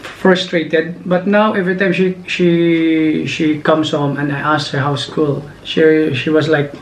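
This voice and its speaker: Filipino-accented man, higher voice, rambling. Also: little pitch movement, unhurried. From Philippines. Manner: rambling, with many fillers and restarts. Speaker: father and channel host. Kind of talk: casual family chat. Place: home room. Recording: echoey room with faint noise.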